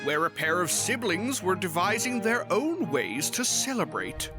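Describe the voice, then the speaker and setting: A woman's voice: lowish voice, sing-song, a little slow, loud music behind the voice. A woman reading scripted storybook narration as the narrator.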